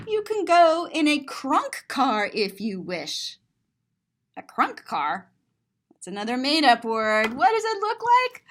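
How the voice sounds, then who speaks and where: A woman reading a picture book aloud to children in an expressive, sing-song way, drawing out words and describing the pictures. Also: high-pitched. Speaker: children's story reader and teacher.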